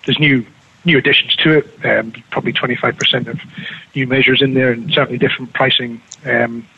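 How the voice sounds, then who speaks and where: A man with a New Zealand accent, a guest on a remote radio interview, talking quickly with many restarts and fillers. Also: medium pitch, bright, forceful tone, husky, dry recording, lo-fi sound. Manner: fast, with frequent restarts and fillers. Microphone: remote call with slight lag.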